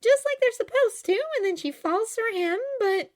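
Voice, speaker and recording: A woman's voice: extremely high pitch, expressive, moderate pace, voice pushed up. A woman voicing an emotional, melodramatic young woman from a storybook, heartbroken and indignant. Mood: subdued, explaining.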